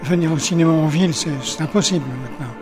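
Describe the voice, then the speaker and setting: An older man answers a reporter's questions. His voice sits a little high and he hesitates constantly, restarting sentences and filling pauses. Music runs clearly beneath the interview. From Paris. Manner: hesitant, many restarts and fillers. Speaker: interviewee, cinema-goer. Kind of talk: casual interview. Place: cinematheque in a city.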